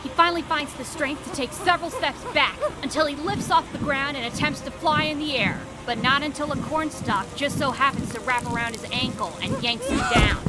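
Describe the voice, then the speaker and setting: A woman's voice: sits high, expressive, brisk, hardly any pauses, poor audio. A woman narrating a spooky fantasy audio drama as a dramatic, scripted storyteller. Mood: suspenseful. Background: music behind the voice.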